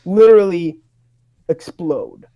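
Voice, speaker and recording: Slow-paced playful narrator, casual and jokey. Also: medium pitch, expressive, highs cut off. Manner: playful, mock-exasperated, jokey asides. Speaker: narrator of a comedic script reading. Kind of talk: casual dramatic reading with running commentary.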